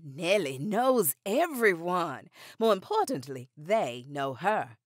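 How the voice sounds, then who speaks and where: Dignified suffrage matriarch, expressive range. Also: female voice, fairly low pitch, slow pace, no room sound, full character voice. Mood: dry, assured. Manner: formal, pointed, dry. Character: dignified, formidable woman elder of the suffrage movement. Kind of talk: radio drama acting.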